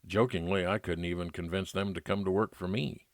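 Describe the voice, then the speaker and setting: A man's voice: low-pitched, steady tone, fairly quick, dry recording, clean studio sound. A man narrating a scripted first-person detective story as a private investigator, in old-time radio style.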